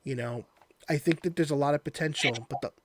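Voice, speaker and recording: A man's voice: on the high side, brisk, slight background noise. A man hosting a podcast interview, chatting casually in an earnest, appreciative way.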